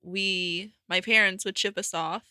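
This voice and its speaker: A woman guest from Houston chatting casually on a podcast, giggling and reacting quickly. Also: mid-range voice, steady tone, bright, forceful tone, dry recording, clean studio sound. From Houston, Texas. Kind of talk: casual chat.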